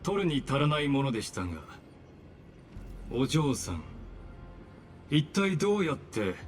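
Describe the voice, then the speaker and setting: An actor playing a polite, menacing overseer in an anime game, formal and condescending. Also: male voice, fairly high pitch, very animated, brisk, faint music behind. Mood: coldly courteous. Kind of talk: Japanese anime game voice acting.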